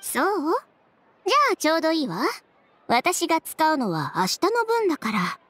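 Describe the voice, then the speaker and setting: A proud, sharp-tongued young woman from a video game. Her high voice swings expressively, yet she stays cool, haughty and unflappable. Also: huge pitch swings, faint music behind, heavily acted. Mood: sly, teasing. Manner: cool, haughty, deliberate sarcasm. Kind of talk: Japanese game voice acting.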